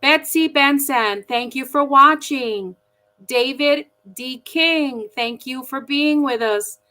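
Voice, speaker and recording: A woman moderating an online candidate forum over a video call, chatty and warm as she reads out viewers' names. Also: high-pitched, slow. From Milwaukee, United States.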